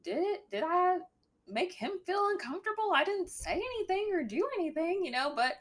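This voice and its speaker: A young adult woman with a slightly deep voice, a guest talking candidly with a dry sense of humor. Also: very animated, a little fast. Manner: candid, dry humor, mimics a bright work voice. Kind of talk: casual chat.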